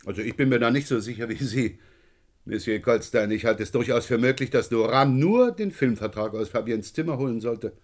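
An actor playing a polite but persistent, dryly sceptical police inspector in a German crime radio play, unhurried and given to hesitant repetitions. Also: male voice, medium pitch, expressive, dry recording, clean studio sound, close to an everyday voice. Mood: dry, insistent.

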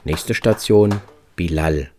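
A man's voice: low-pitched, takes it slowly, noisy background. A man reading scripted first-person radio narration in terse, fragmentary phrases. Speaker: narrator of a radio travel piece. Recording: music behind the voice. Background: music.

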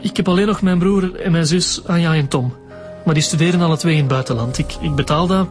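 Actor as a high-voiced, nervous young Flemish man. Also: quick pace, soft background music, lightly acted. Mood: subdued. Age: young adult. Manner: hesitant, defensive. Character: defensive, nervous young male murder witness, evasive and stubborn. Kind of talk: radio drama acting.

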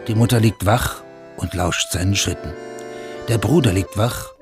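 Man, radio drama narrator, scripted, measured, fairly low pitch, prominent background music.

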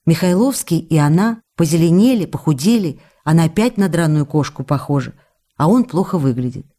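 A woman's voice: sits low, lively intonation, brisk, warm tone. An actress playing a tender, anxious young wife confiding intimately in letters to her husband in a radio play. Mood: reflective, chatty.

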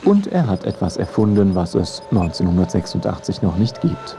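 A man's voice: low-pitched, sing-song, normal speed, gravelly, music in the background. A man narrating a scripted radio feature in a wry storytelling way.

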